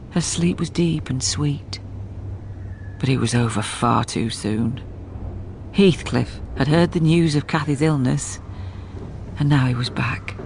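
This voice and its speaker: A woman's voice: sits low, gravelly, a little mellow, a little hiss or hum. A woman playing a sober housekeeper who narrates a gothic tale in a radio drama. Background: faint music.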